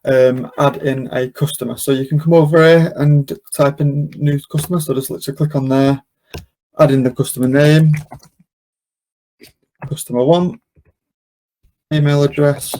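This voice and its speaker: A man hosting a webinar, casually talking through a software demonstration step by step without a script. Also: a little high, fairly even intonation, moderate pace, pauses often, poor audio. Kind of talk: unscripted software walkthrough.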